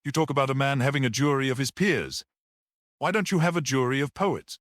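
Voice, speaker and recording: Man reading as an animated, earnest priest-detective. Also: medium pitch, a little fast, no room sound, studio quality, close to an everyday voice. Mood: earnest, wondering. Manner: animated, rhetorical explaining. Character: earnest priest-detective, gentle yet explosively passionate. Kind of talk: dramatic audiobook character reading.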